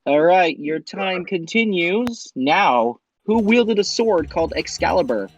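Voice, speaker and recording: A man hosting a quiz game show, reading questions and ruling on answers in a brisk, formal way. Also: high-pitched, animated, muffled recording. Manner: brisk, formal quizmaster delivery.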